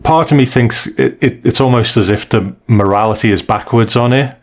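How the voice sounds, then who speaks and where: A man's voice: medium pitch, normal speed. A man co-hosting a podcast, discussing and reviewing stories casually in a thoughtful, hesitant way. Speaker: podcast co-host.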